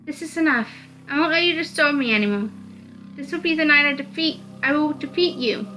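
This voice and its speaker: Woman acting a high-pitched, vengeful young witch. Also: a little fast, sharp tone, poor audio. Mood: defiant. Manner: dramatic, intense, declaiming. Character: Lillian, vengeful anguished young witch of darkness. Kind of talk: visual novel voice-over. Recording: music under the voice.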